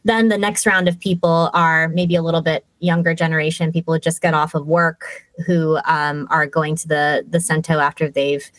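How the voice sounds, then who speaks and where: Guest woman, chatty, detailed storyteller, lower voice, dry close sound. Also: little pitch movement, moderate pace, no room sound. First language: English. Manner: chatty, detailed, with light laughs and fillers. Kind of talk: casual storytelling in an interview.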